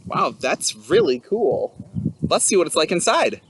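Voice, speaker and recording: High-voiced, animated male storytime reader. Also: background noise. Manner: theatrical, exclaiming, drawn-out emphasis. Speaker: children's storytime host reading a picture book aloud. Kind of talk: expressive children's read-aloud with playful asides.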